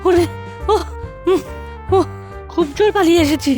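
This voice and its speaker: Woman as a very high-pitched excitable boy. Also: a little slow. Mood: rattled. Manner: exclamatory, comic. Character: excitable, naughty ten-year-old cartoon boy. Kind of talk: comic cartoon dialogue. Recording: loud music bed under the voice.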